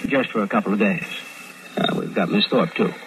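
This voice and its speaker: An actor in a 1950s radio drama playing a measured, probing police lieutenant. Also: male voice, fairly low pitch, fairly bright tone, lightly acted, rough recording. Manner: measured, questioning. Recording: old radio broadcast with faint hiss.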